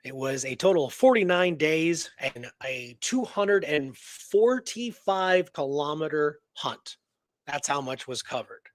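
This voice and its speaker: A man's voice: on the high side, expressive, unhurried, dry recording. A man co-hosting a radio show, telling a story in a casual, digressive way and often checking in with the listener. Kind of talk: casual conversational storytelling and discussion.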